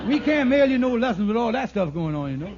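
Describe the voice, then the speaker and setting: An actor playing a fast-talking, scheming, slippery con artist in an old radio sitcom, in folksy ungrammatical speech full of stalling. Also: male voice, extremely high pitch, very animated, full character voice. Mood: smooth bluffing. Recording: noisy, muffled vintage radio recording.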